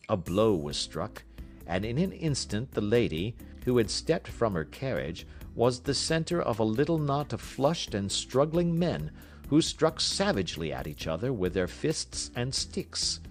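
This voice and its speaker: A man reads scripted literary fiction as an audiobook narrator. His voice is fairly low and very animated in pitch, with measured, expressive storytelling.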